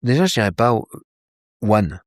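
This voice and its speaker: Man, lowish voice, animated casual talker, lots of fillers, dry close sound. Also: moderate pace, dry recording. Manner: animated, swears casually, many fillers and restarts. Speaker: polar explorer guest. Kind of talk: casual interview chat. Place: outdoors with a view of a landscape.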